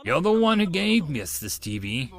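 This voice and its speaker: A melodramatic young man of eighteen as a male narrator plays him, convinced of his own genius. His voice is fairly high and swings widely, with pompous, indignant, theatrical delivery for an audio story. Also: big pitch swings, moderate pace, fairly bright tone. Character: melodramatic, grandiose eighteen-year-old male self-styled genius.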